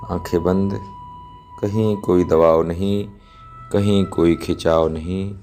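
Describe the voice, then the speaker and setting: A man with a low, mellow voice guides a relaxation meditation at a very unhurried pace. He gives calm instructions in short, measured phrases. Instrumental music plays behind him. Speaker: yoga nidra instructor.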